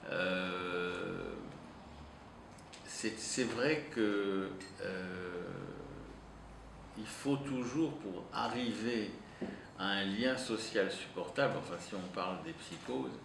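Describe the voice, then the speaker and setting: A man's voice: on the low side, slow pace, creaky. A man whose first language is Italian, answering as a psychoanalyst in an interview, thinking aloud with frequent hesitations. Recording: roomy echo, distant mic, noisy.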